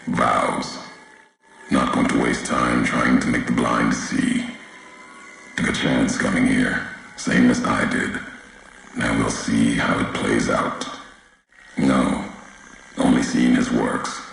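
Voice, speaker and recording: A super deep brooding wasteland wanderer for a game, slow and solemn, really echoey. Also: male voice, fairly bright tone, heavily acted. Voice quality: gravelly. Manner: solemn, cryptic, clipped sentences. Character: grave, brooding male wasteland wanderer, bitter about history. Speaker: video game character. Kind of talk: dramatic scripted game dialogue. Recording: heavy echo, faint background noise.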